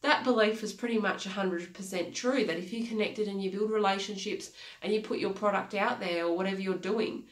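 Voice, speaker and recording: A woman's voice: medium pitch, fairly even intonation, a little fast. A woman in her thirties with a congested, nasal voice, giving an earnest motivational talk as a life coach. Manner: earnest, repeats key phrases, gestures with hands. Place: small home office, indoors. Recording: echoey room.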